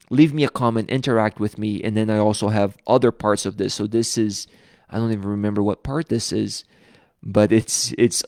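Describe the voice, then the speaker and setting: A man's voice: lowish voice, a little mellow, no echo, studio quality. A man from Brazil with a Brazilian accent, hosting a live book-reading series in a casual, open, conversational way with frequent restarts. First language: Portuguese. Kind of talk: casual live-stream talk.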